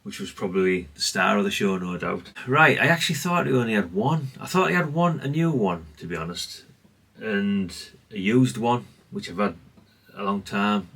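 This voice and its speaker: A man's voice: lowish voice, animated, moderate pace, hardly any pauses, fairly bright tone, husky, recorded from a distance. A man giving a casual, rambling, understated show-and-tell, speaking off the cuff. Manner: rambling, self-effacing, understated. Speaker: hobbyist presenter.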